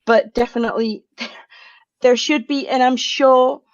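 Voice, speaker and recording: Venezuelan-born woman, conference talk; slightly high voice, dry lo-fi call audio, easygoing. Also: measured pace, no echo. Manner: laughs often, relaxed and chatty. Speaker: conference speaker. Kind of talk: tech talk on a video call.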